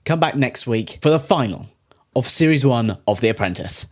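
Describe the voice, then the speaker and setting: A man hosts a reaction video, speaking in a mid-pitched voice. He swears freely and keeps his commentary sarcastic and wry. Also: expressive, normal speed.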